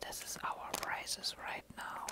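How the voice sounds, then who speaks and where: A person with a German accent doing a breathy whispered ASMR show and tell, halting and rambling as they go. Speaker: ASMR creator.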